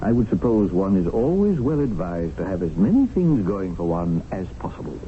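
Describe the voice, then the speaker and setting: An actor playing an experienced English tiger hunter in colonial India, explaining things and easily exasperated, in a radio drama. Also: male voice, low voice, sing-song, normal speed. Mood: calm, instructive. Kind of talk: 1970s radio drama acting. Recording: narrow-band old radio broadcast, faint noise.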